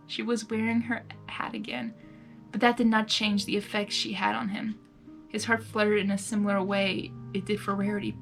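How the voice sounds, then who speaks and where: A woman reading fan fiction aloud, narrating and breaking into animated, giggly asides. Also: medium pitch. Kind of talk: story narration with excited casual asides. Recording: music behind the voice. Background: music.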